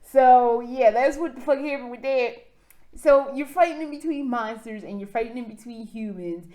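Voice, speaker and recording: A high-voiced woman recapping a strange video game in a casual vlog. She rambles, swears freely, and talks in an excitable rush. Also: normal speed. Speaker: gaming vlogger.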